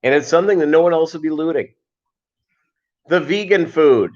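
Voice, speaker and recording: A man hosting a casual livestream, chatting with viewers in a halting way and wandering between asides. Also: a little high. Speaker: livestream host. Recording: dull lo-fi livestream audio.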